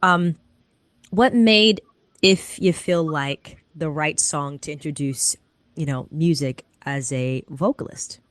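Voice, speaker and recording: Deep-voiced woman interviewer, dry sound. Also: slow pace, no echo. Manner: warm, affirming, rambling questions. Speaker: interview host. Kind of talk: podcast interview.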